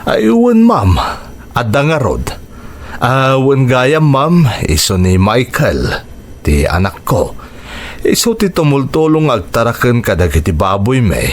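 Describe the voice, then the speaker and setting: A man's voice: mid-range voice, very animated, unhurried. A man with a Filipino accent playing a kindly, hardworking pig-farmer father in an Ilocano radio drama. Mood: courteous, eager.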